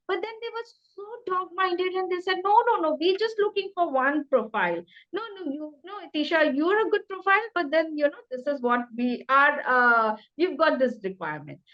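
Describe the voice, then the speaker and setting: High-voiced Indian-accented woman. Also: dull audio. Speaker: interview guest, career changer. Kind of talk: conversational career interview.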